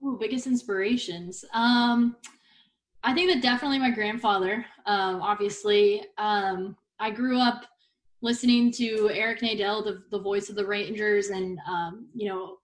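A woman's voice: mid-range voice, fairly even intonation, normal speed. Upbeat Texan woman, chatting casually. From Texas. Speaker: podcast guest, baseball broadcaster. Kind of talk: casual interview.

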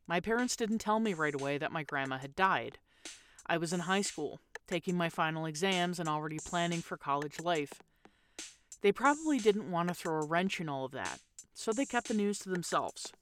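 A woman hosting a podcast, reading scripted narration for a spooky storytelling show. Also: fairly low pitch. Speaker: podcast host. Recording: music playing under the voice. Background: music.

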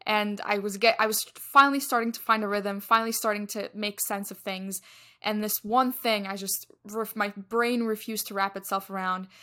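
Bright-voiced woman co-hosting a podcast. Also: mid-range voice, fairly even intonation, moderate pace. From Egypt. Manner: chatty, self-deprecating, laughs while talking. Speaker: podcast co-host. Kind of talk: casual podcast chat.

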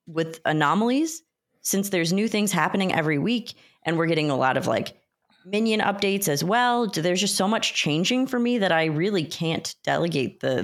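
A mid-pitched voice chats casually on a gaming podcast. The panelist talks hesitantly, thinking aloud along the way. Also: fairly bright tone.

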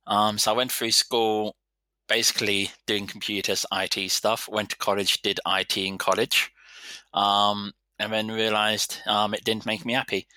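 Guy in his 30s, easygoing chatty vet, lowish voice, bright and dry. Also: little pitch movement, normal speed, no echo. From London, England. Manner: easygoing, rambling storyteller. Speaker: vet surgeon guest. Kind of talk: casual interview chat.

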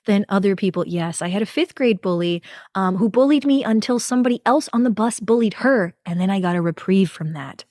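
A woman's voice: medium pitch, darkish tone, no echo. Earnest woman podcaster, dry close sound. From New York, USA. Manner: earnest, confiding, often adds "right?". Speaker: podcast host. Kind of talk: personal storytelling monologue.

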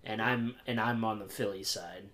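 A man's voice: on the low side, fairly even intonation, normal speed, a bit bright. A young American man from Pennsylvania hosting a podcast in an informal, laid-back chat. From Pennsylvania, United States. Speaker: podcast host. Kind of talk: casual chat.

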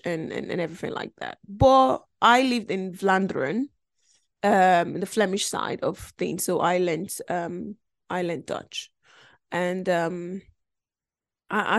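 A woman's voice: mid-range voice, no room sound, studio quality. A woman talking casually and reflectively as a podcast host, chatty and emphatic, often repeating phrases for emphasis. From Nigeria, later lived in Belgium. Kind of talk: casual, reflective personal monologue.

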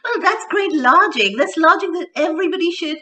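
Bright-voiced woman hosting a radio interview. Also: extremely high pitch, expressive, a little fast. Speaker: radio and podcast host. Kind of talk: conversational interview. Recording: distant from the mic.

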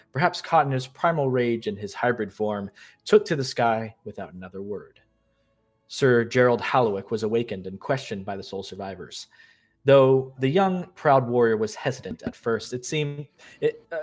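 A man hosting a tabletop role-playing show, getting over a cold and sounding a bit nasal, giving a recap in a steady storytelling voice with frequent fillers. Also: mid-range voice. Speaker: game master and host. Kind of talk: show intro and recap narration.

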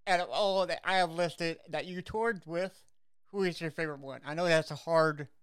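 An interview host who circles through long, filler-heavy questions. The voice is smooth and resonant at a middle pitch. The sound is dry and close. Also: normal speed, dry recording. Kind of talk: casual interview conversation.

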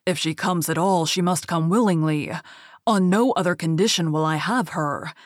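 A woman reading aloud as a furious male beast in a fairy tale, stern and grim. The voice is pitched on the low side and stays commanding. The sound is close and dry. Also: normal speed, dry recording, natural voice.

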